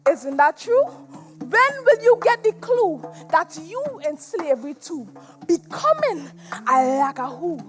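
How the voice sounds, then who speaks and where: High-pitched, anguished Trinidadian chained spirit played by a woman. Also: sing-song, full character voice. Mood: taunting, menacing. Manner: theatrical, anguished, deliberate, drawn-out delivery. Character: embittered chained former slave turned restless lagahu spirit, preaching warnings. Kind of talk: dramatic spoken-word stage monologue in rhyme. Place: stage at a competition in a performance hall. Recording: live stage performance with music.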